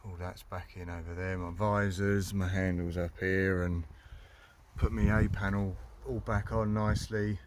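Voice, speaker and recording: A man's voice: sits low, level tone, measured pace, noisy background. A British man giving a casual hands-on walkthrough, informal and colloquial, thinking aloud as he goes. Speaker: hobbyist vlogger. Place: in and around a parked car.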